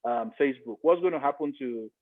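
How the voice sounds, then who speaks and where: A man's voice: medium pitch, a little fast. A man with a Nigerian accent giving his opinions in a podcast discussion, emphatic and repeating phrases to make his point. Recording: thin, phone-like line.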